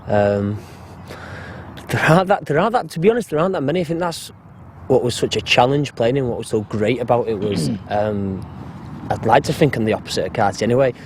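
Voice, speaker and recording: A man's voice: mid-range voice, lively intonation, fairly quick, a little hiss or hum. A man in his twenties with a Mancunian accent, an actor telling joking, rambling anecdotes in a casual interview. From Salford, Manchester. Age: 20s.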